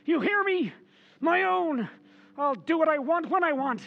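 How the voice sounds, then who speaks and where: Scheming henpecked husband, radio horror, male actor: high, slightly dull audio, coaxing, anxious, stammering. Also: animated, measured pace, a little hiss or hum, heavily acted. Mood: bitter, defiant. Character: henpecked, scheming, nervous husband with false sweetness. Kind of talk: old-time radio horror acting.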